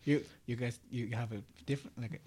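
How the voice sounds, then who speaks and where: Young male guest, Japanese accent, medium pitch, hesitant short-phrased English in relaxed conversation. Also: brisk, breathy edge. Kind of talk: casual chat.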